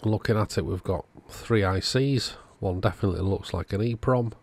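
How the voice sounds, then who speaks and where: Male repair-channel host, low creaky voice, casual step-by-step narration, dry close sound. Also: moderate pace, darkish tone.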